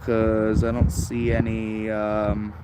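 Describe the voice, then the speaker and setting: A man's voice: lowish voice, completely flat delivery, slow pace, heavy background noise. A man giving casual, unscripted hobbyist commentary as a livestream host, rambling and thinking aloud. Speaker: shortwave radio livestream host.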